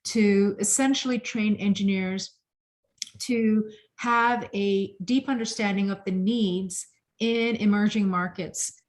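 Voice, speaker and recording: A woman hosting an academic seminar, introducing a guest speaker in a halting way with frequent pauses and ums. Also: mid-range voice, steady tone.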